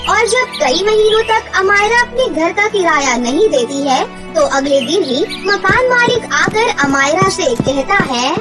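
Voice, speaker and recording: A woman's voice: very high-pitched, animated, unhurried, hardly any pauses. A woman narrating a children's story in a dramatic, scripted storytelling style. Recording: music under the voice. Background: background music.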